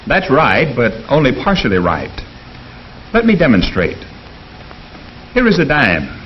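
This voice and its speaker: An actor playing an earnest American professor in a 1950s educational film, speaking in a formal, deliberate, didactic way. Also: male voice, medium pitch, wildly swooping pitch. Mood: earnest, instructive. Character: earnest male economics professor lecturing his class. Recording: dull, noisy 1950s film soundtrack.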